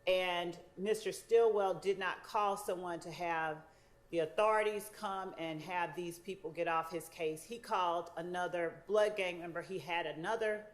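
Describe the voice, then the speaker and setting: A woman speaking as a prosecutor, making a formal, deliberate and somewhat halting argument to the court. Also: fairly low pitch.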